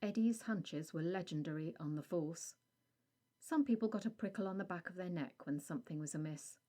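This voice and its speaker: A woman reading a scripted audio story as narrator, in a measured storytelling delivery. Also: fairly low pitch, mellow.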